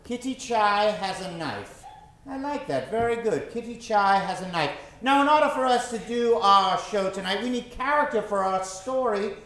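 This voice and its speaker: A man's voice: sits high, expressive, normal speed, fairly bright tone. Theatrical male stage host, very echoey. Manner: theatrical, showman-like patter. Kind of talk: live improv show hosting to an audience. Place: theater stage before a live audience.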